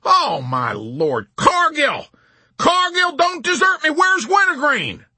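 A man's voice: extremely high pitch, wildly swooping pitch, slow, sharp tone, no room sound, pitched above the performer's own voice, exaggerated voice. A man voicing a pompous army general in hysterical panic, wailing and screaming frantically in an audiobook.

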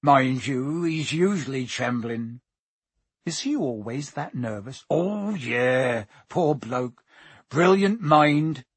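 A big, jovial giant with a hearty, folksy way of talking, played by a male audiobook reader. His delivery rises and falls with plenty of life, through a husky, breathy tone. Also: mid-range voice, lively intonation, slow pace, no room sound. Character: huge, jovial giant groundskeeper, rustic.

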